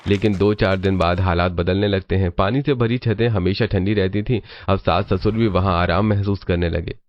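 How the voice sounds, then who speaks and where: Low-pitched man narrating a story. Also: fairly even intonation, normal speed, dry recording, dull audio.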